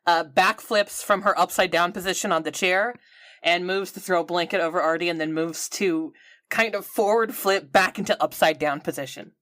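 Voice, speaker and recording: A woman takes part in a tabletop roleplaying game, describing her character's actions in a casual way. Her voice is a little low, recorded close and dry. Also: normal speed, fairly bright tone, no echo. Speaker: player in a tabletop roleplaying podcast. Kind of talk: casual third-person narration of a character.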